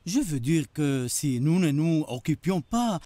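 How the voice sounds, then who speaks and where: A man playing a scheming, jealous senior rabbi in an animated religious drama, insinuating and drawing out his questions. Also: fairly high pitch, measured pace, dry recording.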